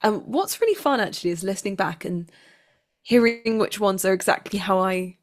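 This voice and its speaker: A woman's voice: medium pitch, animated, normal speed. A woman speaking casually as an actor being interviewed, reflective and self-deprecating.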